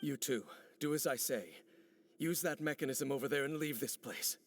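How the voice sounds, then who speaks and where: A man voicing a serious, commanding warrior in a video game, measured and terse. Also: mid-range voice, slight background noise, close to an everyday voice. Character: grave, guarded male warrior, commanding and watchful.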